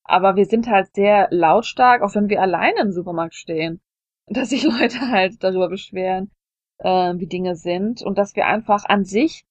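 Casting: woman, conversational podcast co-host, self-deprecating storyteller, medium pitch, dry sound. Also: moderate pace, dry recording, muffled recording. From Germany. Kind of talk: casual conversational podcast talk with personal anecdotes.

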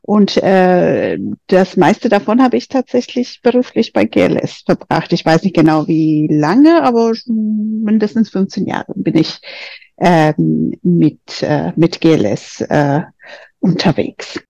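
A woman from Spain, a native Spanish speaker with a Spanish accent, guest advisor in a podcast interview, searching haltingly for German words. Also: fairly low pitch, lively intonation, vocal fry, dark tone, dry recording, highs cut off. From Spain (Madrid). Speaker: exchange program advisor, guest.

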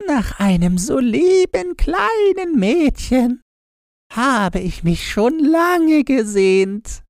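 A man's voice: very high-pitched, very animated, very slow, no room sound, clean studio sound, pitched above the performer's own voice, full character voice. A man voicing a kindly old sorceress, a doting old woman with a tender, cooing manner, in a fairy-tale audiobook.

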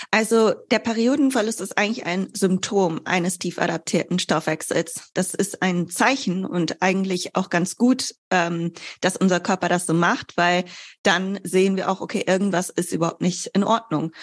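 A woman's voice: fairly low pitch, fairly even intonation, normal speed. A woman in her twenties, a fitness coach, explaining things conversationally as an interview guest. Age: 20s.

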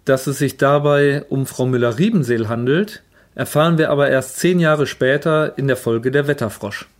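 A man's voice: mid-range voice, moderate pace. A man delivering scripted trivia commentary in a matter-of-fact, informative manner. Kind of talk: scripted narration.

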